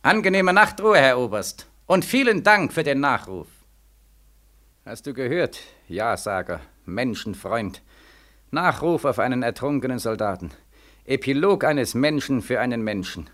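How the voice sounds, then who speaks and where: A young soldier back from war, bitter and accusing. His voice is forceful and swings widely in pitch as he declaims with biting sarcasm. It is impassioned vintage radio drama, repeating its accusations insistently. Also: big pitch swings, a little slow. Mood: bitter irony. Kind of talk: 1940s radio play acting. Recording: vintage 1940s radio recording.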